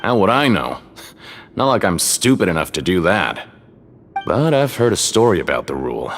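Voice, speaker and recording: A man's voice: deep voice, very animated, moderate pace. An actor playing a shifty, folksy ticket seller at a fight ring in a video game, with a casual, confiding, hedging salesman's manner. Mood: storytelling. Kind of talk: video game character acting. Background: music.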